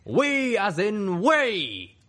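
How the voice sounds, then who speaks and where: A man voicing an undead armored warrior pony in an audiobook, thunderous, shouting and grandly formal. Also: high voice, huge pitch swings, drawn-out words, pitched above the performer's own voice, exaggerated voice. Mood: loud, proclaiming. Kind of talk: audiobook character voice.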